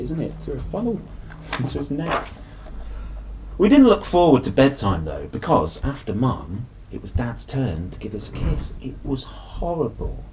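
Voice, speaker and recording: A man's voice: mid-range voice, darkish tone, a little hiss or hum, poor audio. A man reading a children's story aloud expressively, with dramatic emphasis and playful delivery. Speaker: storybook reader. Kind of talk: animated children's story narration.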